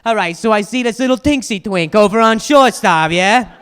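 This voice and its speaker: A man voicing a cocky, boastful softball bragging to his buddies at a bar. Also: sits high, normal speed, clear, resonant tone, pitched above the performer's own voice. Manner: loud boastful barroom swagger.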